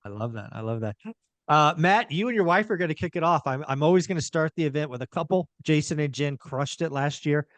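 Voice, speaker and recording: A man's voice: fairly high pitch, lively intonation, fairly quick, fairly bright tone, no room sound. A man hosting a podcast, talking in an upbeat, promotional way about his event. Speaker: podcast host, event organizer.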